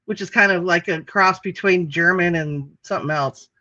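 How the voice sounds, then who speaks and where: Chatty woman co-host, bright voice. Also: fairly low pitch, normal speed, no room sound, highs cut off. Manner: chatty, laughs often, curses casually. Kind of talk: casual chat. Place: home with animals behind her.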